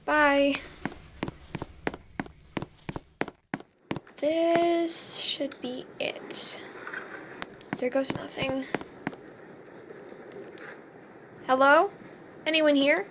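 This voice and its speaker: A skeptical young fairy, new at school acted by a woman, in an amateur game roleplay. Her voice is high, with a dry, hesitant way of talking. Faint background noise sits behind it. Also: steady tone, very slow. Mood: wry, tentative. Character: young female fairy newcomer, wry and skeptical.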